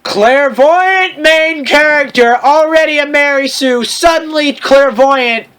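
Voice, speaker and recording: A man's voice: very high-pitched, takes it slowly, a bit bright. A man hosting a comedic read-aloud of fan fiction, with theatrical, mocking asides and casual commentary.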